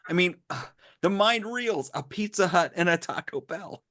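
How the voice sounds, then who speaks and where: A man co-hosting a podcast, enthusiastic and quick to laugh. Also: sits high, expressive, a bit bright, no room sound, clean studio sound. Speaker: podcast co-host. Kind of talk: casual chat.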